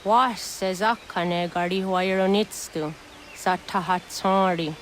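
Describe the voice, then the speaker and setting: Actress as a slow-speaking solemn village elder woman. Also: medium pitch, fairly even intonation, a little hiss or hum, close to an everyday voice. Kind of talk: dramatic video game acting.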